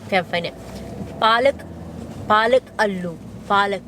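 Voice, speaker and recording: Playful woman vlogger, laughs a lot; casual banter over clear background noise. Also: medium pitch, normal speed. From Scotland. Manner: giggly, playful, chatty. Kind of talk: casual chat. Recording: noisy with car and street ambience.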